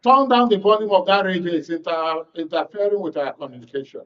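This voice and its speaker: A man's voice: sits high, fast talker, a bit bright, distant mic, lo-fi sound. A man with a Nigerian accent hosting a live call-in show, often repeating words as he goes. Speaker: radio call-in show host. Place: radio and TV studio.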